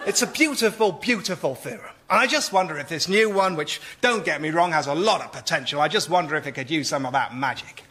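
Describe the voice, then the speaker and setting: A man's voice: fairly high pitch, lively intonation, brisk, few pauses, sharp tone. An actor playing a gushing, pushy agent in a radio comedy sketch, effusive and punchy like a salesman. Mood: excited, dramatic. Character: gushing, pushy male agent peddling mathematical theorems.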